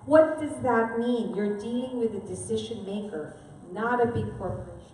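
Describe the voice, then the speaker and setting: A woman's voice: fairly high pitch, moderate pace, warm tone, a little hiss or hum. A woman from the Philippines giving a live seminar presentation, talking conversationally and often checking in with the audience. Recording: echoey hall from far off.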